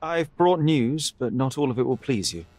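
An actor playing a young detective in a video game, measured, dry and probing. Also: male voice, mid-range voice, animated, a little mellow, lightly acted. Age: young adult. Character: cool, wry young male detective. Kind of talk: video game cutscene acting.

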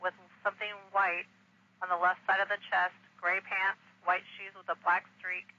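American male police dispatcher, high-pitched, monotone, clipped procedural delivery on muffled scanner radio. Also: normal speed.

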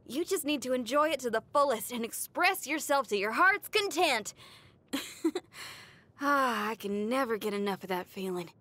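A woman's voice: sits high, animated, moderate pace, pushed and bright, full character voice. A woman voicing a brash young female rock guitarist in a game, cocky and upbeat. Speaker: game character. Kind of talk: game voice lines.

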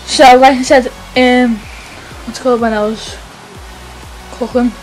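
A woman's voice: on the high side, fairly even intonation, measured pace, rough recording. A teenage girl vlogging from her bedroom, talking in a chatty, rambling way and mumbling while she cooks. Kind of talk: casual vlog chat while cooking. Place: small bedroom indoors. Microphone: camera mic close up. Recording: loud music playing under the talk.

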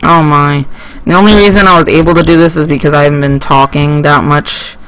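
A teenage male gaming YouTuber giving casual, rambling and excitable gameplay commentary, speaking into a headset indoors. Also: fairly high pitch, a little fast, clean tone, low-quality recording.

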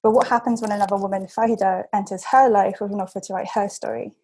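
Young woman seminar speaker on a webcam call, reading her paper at a measured pace with ums and restarts; warm, slightly husky voice. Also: medium pitch, steady tone, poor audio. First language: English. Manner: measured, with frequent ums and self-corrections.

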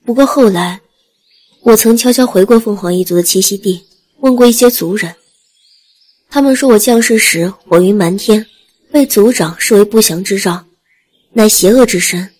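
A woman's voice: mid-range voice, normal speed, long pauses, a little mellow, pitched above the performer's own voice, natural voice. A woman voicing a frank, self-deprecating young phoenix in an audiobook, speaking in an informal, protesting manner. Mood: earnest. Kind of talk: audiobook character acting.

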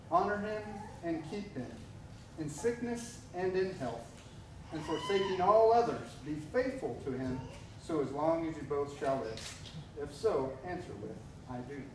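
Solemn middle-aged minister in echoey church. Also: male voice, high-pitched, recorded from a distance, slight background noise. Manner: measured, solemn liturgical delivery. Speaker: officiating minister. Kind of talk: wedding ceremony officiating. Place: church sanctuary. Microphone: far camera.

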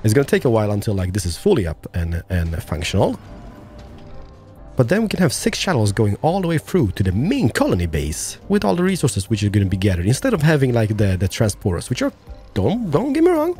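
A hoarse man losing his voice, hosting excitable gameplay commentary with sudden high-pitched exclamations. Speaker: gaming channel host.

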